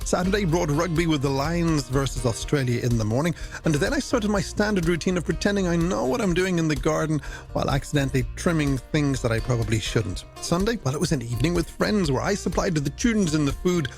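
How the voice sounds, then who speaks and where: A man's voice: fairly high pitch, expressive, fairly quick. A man presenting a late-night music radio show in a chatty, scripted style, with playful, wordy patter aimed at the listener.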